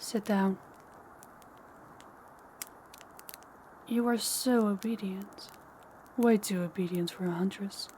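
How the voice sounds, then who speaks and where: A woman playing an ancient, powerful female vampire in an intimate audio roleplay, speaking in a deliberate, teasing and menacing way. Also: mid-range voice, a little mellow, slight background noise, natural voice. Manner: slow, deliberate, taunting, dropping into whispers.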